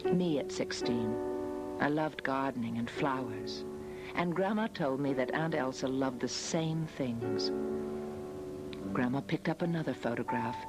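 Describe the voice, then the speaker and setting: Slow-paced woman narrator over music. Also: extremely low pitch, poor audio. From United States, after early childhood in Australia. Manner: measured, reflective. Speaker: photographer narrating her family history. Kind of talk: scripted documentary narration.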